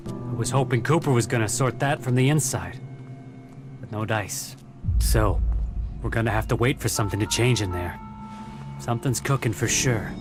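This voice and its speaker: A man's voice: mid-range voice, natural voice. An actor playing a pragmatic, wary male outlaw in a video game, colloquial and matter-of-fact. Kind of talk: video game dialogue acting.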